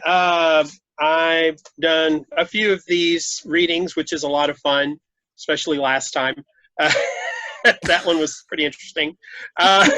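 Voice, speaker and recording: A man's voice: sits high, sharp tone, no room sound, low-quality recording. A man introducing himself casually, talking through a phone on a group video call. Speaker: amateur actor introducing himself. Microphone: phone microphone.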